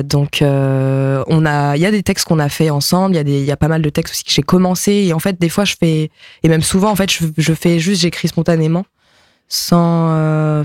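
A musician raised near Nantes whose first language is Japanese, answering interview questions hesitantly with many fillers. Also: male voice, on the high side, darkish tone, no room sound, clean studio sound. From France, near Nantes. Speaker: musician guest.

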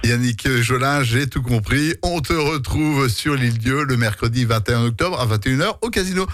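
A man interviewing a guest on the radio in a measured, familiar way. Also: medium pitch, a bit bright.

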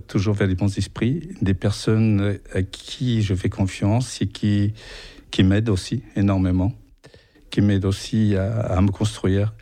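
Man, portuguese accent, low voice, mellow, halting speech. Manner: halting, searching for words. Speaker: radio volunteer. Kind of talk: interview answers.

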